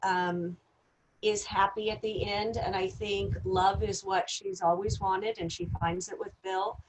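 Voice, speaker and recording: A woman talks with an average-pitched voice. She gives her view conversationally, as a member of a discussion panel. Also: level tone, a little slow, a little hiss or hum, low-quality recording. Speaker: panelist, actress.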